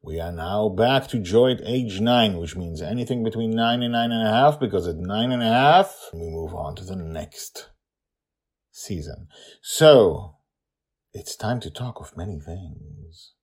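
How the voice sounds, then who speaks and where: A man's voice: fairly low pitch, lively intonation, unhurried, darkish tone, slightly rough. A man hosting a story podcast, talking informally and emphatically as he introduces and explains episodes.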